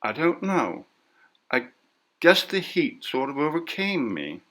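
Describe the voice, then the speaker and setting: A man's voice: fairly high pitch, sing-song, slow pace, fairly bright tone, pitched above the performer's own voice, full character voice. A man voicing an anxious, evasive, meek woman in a story, speaking in a hesitant, defensive way. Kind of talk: audiobook character voice.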